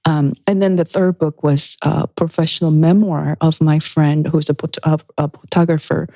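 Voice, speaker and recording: Studio guest: woman, reflective and unhurried, mellow voice, dry close mic. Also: low-pitched, level tone, vocal fry, dry recording. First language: Korean. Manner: reflective, unhurried, with soft laughs and restarts. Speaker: guest pianist and author. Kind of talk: thoughtful conversational interview.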